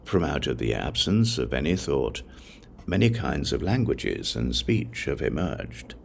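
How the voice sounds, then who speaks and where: A man reading a religious text aloud as a scripted audiobook, in a measured, solemn way with deliberate pauses. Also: extremely low pitch, big pitch swings, gravelly, slight background noise. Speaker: audiobook narrator.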